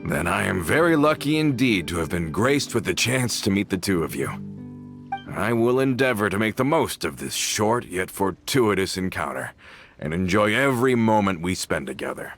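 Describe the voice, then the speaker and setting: A loyal, earnest henchman from a video game, with a low voice. He speaks formally and respectfully, in measured phrases. Soft music plays underneath. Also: very animated. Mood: grateful, formal. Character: earnest, courteous male henchman, loyal and deferential.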